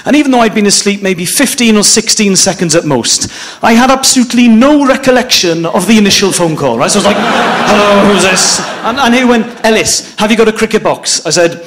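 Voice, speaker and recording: High-voiced Welsh stand-up comedian. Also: male voice, fairly quick, few pauses. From Wales. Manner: deadpan storytelling with sudden high-pitched acted quotes. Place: comedy club stage. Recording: live club recording with audience noise.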